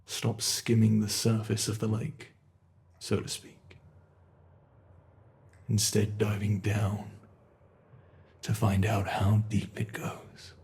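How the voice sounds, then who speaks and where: A man voicing an ancient, immortal monster under the bed, speaking in a slow, brooding, gravelly ASMR horror roleplay monologue. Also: on the low side, flat delivery, darkish tone.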